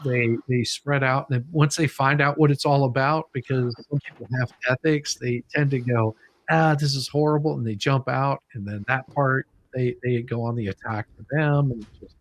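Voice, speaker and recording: A man's voice: mid-range voice, steady tone, normal speed, rough recording. A man hosting a casual livestream, rambling and repeating words as he thinks aloud. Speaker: livestream host.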